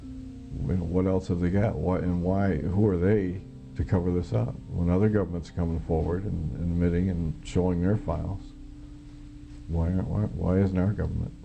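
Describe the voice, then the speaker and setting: A middle-aged American man giving personal testimony in an interview, plain-spoken and a little halting as he recollects. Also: deep voice, fairly even intonation, gravelly, warm tone, muffled recording, faint music behind. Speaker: former military air traffic controller, witness.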